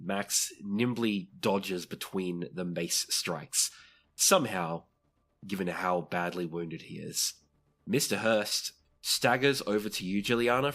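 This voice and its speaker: A man with a low, husky voice acts as game master for a tabletop game. He describes the action as he goes and checks rules with the players. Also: fairly bright tone. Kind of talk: improvised tabletop game narration.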